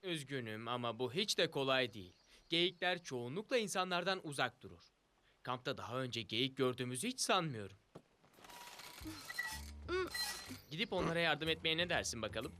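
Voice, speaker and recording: A man playing a lively, upbeat camp leader. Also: mid-range voice, fairly quick, noisy background, natural voice. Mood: kind, explaining. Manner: welcoming, lively, addresses children directly. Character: friendly, upbeat male summer camp leader. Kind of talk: Turkish dubbed preschool cartoon acting.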